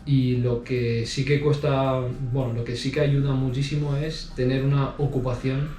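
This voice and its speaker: A man answering interview questions in a relaxed way, with lots of pauses and restarts. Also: medium pitch, distant mic, soft background music. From Spain. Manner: hesitant, many fillers and restarts. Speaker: interview guest. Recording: roomy sound from off mic.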